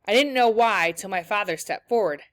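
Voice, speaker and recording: A woman teacher reading a children's novel aloud, with clear storytelling after a few discussion questions. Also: mid-range voice, moderate pace, fairly bright tone, dry recording.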